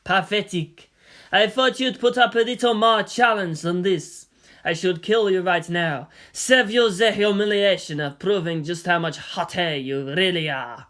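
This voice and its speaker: A reader acts out a power-drunk teenage boy villain from an action story. The voice is sharp and forceful, dragging out taunts with a cruel, gloating sneer. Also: mid-range voice, natural voice. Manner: sneering, taunting, drawn-out menace.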